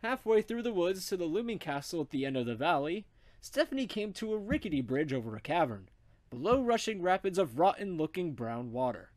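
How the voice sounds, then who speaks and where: Man, narrator, expressive swooping pitch, comic story reading, dry close recording. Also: brisk, no echo. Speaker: narrator, reader.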